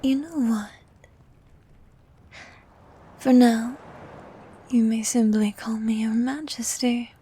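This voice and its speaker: A woman performs a bossy, self-admiring sea queen in an intimate ASMR roleplay. Her voice sits fairly high yet soft and breathy, often slipping toward a whisper. She speaks very slowly, coaxing and flirting with regal confidence. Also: fairly even intonation, full character voice. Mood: regal, flirtatious. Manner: regal, teasing, slow and coaxing.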